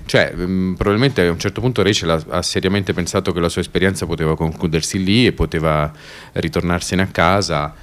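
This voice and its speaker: Man, very low voice, radio presenter, unscripted commentary, halting and searching for words. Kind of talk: unscripted commentary between readings.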